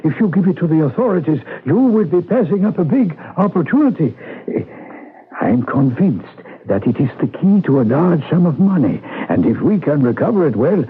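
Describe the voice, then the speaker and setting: An actor playing an anxious, secretive man devoted to a family's past, pleading and halting in a radio mystery. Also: a little high, very animated, slightly rough, heavily acted. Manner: pleading, halting, wistful storytelling. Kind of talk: 1970s radio mystery acting. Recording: muffled narrow-band vintage radio broadcast.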